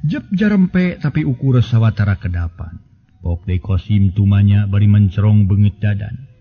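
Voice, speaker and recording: A man's voice: fairly low pitch, expressive, normal speed. A man with a Sundanese accent telling a serial folk tale as a dramatic storyteller. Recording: slightly dull sound, music bed. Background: music.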